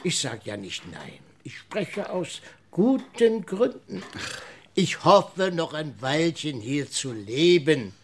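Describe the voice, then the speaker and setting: An actor playing a timid, evasive older village priest in a radio drama, wordy and full of excuses. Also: male voice, a little high, very animated, slow pace, slight background noise, heavily acted. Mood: fretful. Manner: fretful, wordy, excuse-making. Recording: radio play with music behind.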